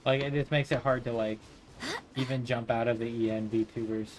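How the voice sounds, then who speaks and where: A man's voice: mid-range voice, steady tone, moderate pace, background noise. A man livestreaming a video game, talking in a casual, rambling way. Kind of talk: casual rambling stream chat. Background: game music.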